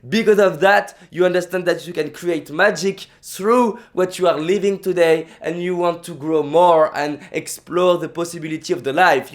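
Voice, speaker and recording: A young man with a French accent, a native French speaker, giving an emphatic motivational vlog talk full of repetition and restarts. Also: sits high. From France.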